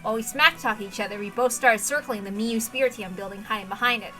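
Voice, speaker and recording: Female narrator for visual novel action, high register, forceful edge, expressive and emphatic read. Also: fast, faint music behind.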